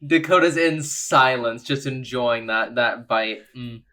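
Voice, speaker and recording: A man's voice: medium pitch, a little slow, bright, forceful tone. Informal, reactive man at a game table. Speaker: player in an actual-play podcast. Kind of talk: casual improvised table chat.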